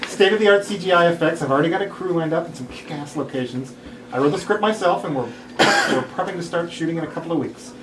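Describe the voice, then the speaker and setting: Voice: medium pitch, quick pace, close to an everyday voice. An actor playing an eager amateur filmmaker with persuasive salesman-like patter at a comedy table read. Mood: boastful. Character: eager, pushy amateur filmmaker pitching and pleading. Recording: roomy distant mic, clear background noise.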